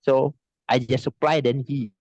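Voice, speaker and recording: A man from Indonesia speaks slowly and haltingly, restarting his sentences over and over. His voice has a middle pitch. It comes through dry and a little muffled over a video call. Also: fairly even intonation, darkish tone, dry recording. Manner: halting, hesitant speech with many restarts and frequent laughs. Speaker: online English teacher, interview guest. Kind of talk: casual video-call interview.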